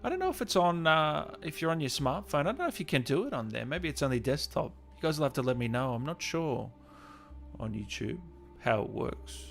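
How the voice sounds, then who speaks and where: A man hosting a casual livestream, reading out viewer messages and thanking people with effusive, drawn-out, hyped shout-outs. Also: mid-range voice. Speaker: livestream host and channel owner. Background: music playing behind the voice.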